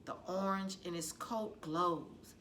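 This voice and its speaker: A woman reading a children's novel aloud as a librarian would, in an expressive, dramatic storytelling style with deliberate phrasing. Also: fairly low pitch, a little hiss or hum.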